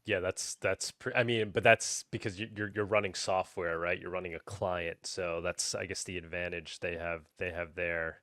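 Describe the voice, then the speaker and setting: A man with a low voice hosts a casual interview. He speaks haltingly, reworking his sentences as he goes, in a dry recording with hardly any room sound. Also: steady tone, pushed and bright, no room sound. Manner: halting, rephrases questions as he goes. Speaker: podcast host.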